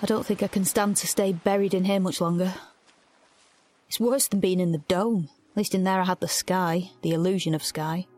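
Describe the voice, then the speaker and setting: An actress playing a despairing, isolated young rebel in a dystopian audio drama, narrating her ordeal in an introspective, halting way. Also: fairly low pitch, fairly even intonation, a little mellow, lightly acted. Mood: restless. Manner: introspective, halting, self-questioning.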